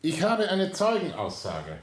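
An actor playing a composed, relentless police inspector in a radio drama, speaking formally and insistently. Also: male voice, sits high, huge pitch swings, a little slow, full character voice. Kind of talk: German radio drama acting.

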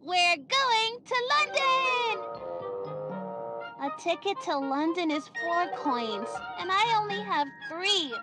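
A woman's voice: very high voice, very animated, loud music behind the voice, exaggerated voice. A woman voicing a young cartoon girl who hosts a children's show in a video game, talking to viewers in a singsong way. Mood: excited. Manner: singsong, slow counting, addressing young viewers.

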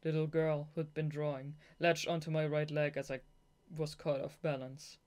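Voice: mid-range voice, flat delivery, normal speed, a little mellow. A young adult native German speaker reading a novel aloud as amateur audiobook narration, sometimes breaking into gushing fan asides. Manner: giggly, gushing fangirl asides between read passages. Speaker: audiobook reader.

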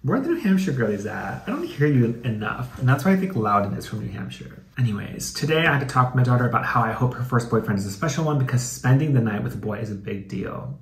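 A man hosts a comedic reading in a casual, campy style. His medium-pitched voice is sassy and slangy, with swearing mixed into the commentary. The recording is roomy, with noticeable echo. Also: very animated, fast, hardly any pauses. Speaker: YouTube host.

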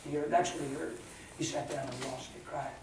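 Older folksy preacher in echoey hall. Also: male voice, on the high side, brisk. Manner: animated, folksy, swings from loud to hushed. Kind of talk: sermon. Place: large indoor livestock-arena church hall. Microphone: far camera. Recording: echoey hall from far off, ambient noise.

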